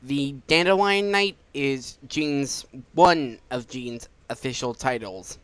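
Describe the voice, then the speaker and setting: A man's voice: mid-range voice, slow, a bit bright, clean tone, no echo. A man giving informal gameplay commentary, stumbling over his words now and then in a self-deprecating way. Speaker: gaming video host.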